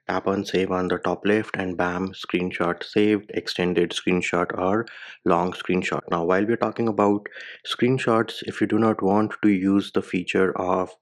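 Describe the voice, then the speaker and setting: A man whose first language is Hindi, giving a step-by-step phone tutorial voice-over with casual slang. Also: low-pitched. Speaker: tech reviewer.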